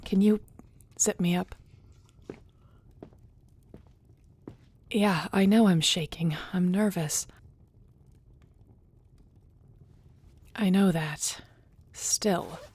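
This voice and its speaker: A woman's voice: lowish voice, slow pace, a little mellow, breathy edge. A woman playing a nervous, affectionate young werewolf about to lead her clan, in an audio roleplay. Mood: nervous, tender. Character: nervous young female werewolf shifter becoming clan Alpha, affectionate, teasing. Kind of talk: intimate audio roleplay acting.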